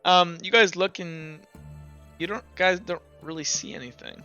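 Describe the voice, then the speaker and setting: A man acting as game master, improvising narration for a tabletop game in an informal, halting way. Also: high-pitched, pushed and bright, lo-fi sound. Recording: music behind the voice.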